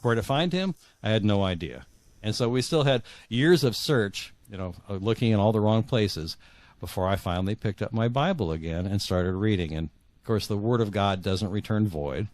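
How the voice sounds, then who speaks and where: Deep-voiced middle-aged man telling his story. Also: animated, a little mellow, dry recording. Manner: halting, repeats words for emphasis. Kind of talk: reflective personal storytelling in an interview.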